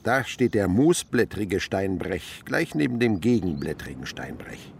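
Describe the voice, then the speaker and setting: A man's voice: deep voice, sing-song, measured pace, creaky, a little hiss or hum, heavily acted. An older male actor playing an enthusiastic, teasing naturalist uncle in a German radio play, fond and instructive in a theatrical way.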